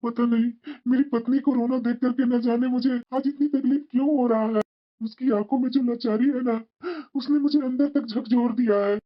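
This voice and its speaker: A woman's voice: a little high, fairly even intonation, moderate pace, dull audio, natural voice. A woman voicing a resentful, weary husband and father in a cartoon family drama, with a complaining manner. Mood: brooding, regretful. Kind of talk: Hindi moral-story cartoon dialogue.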